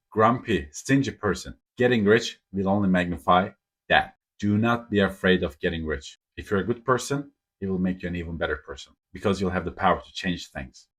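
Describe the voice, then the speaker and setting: A man in his thirties with a husky, somewhat breathy voice, pitched a little low. He talks straight to camera in an earnest, emphatic way, setting out life advice point by point. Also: a bit bright. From Turkey. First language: Turkish. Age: 30s. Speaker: vlogger. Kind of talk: motivational talk to camera.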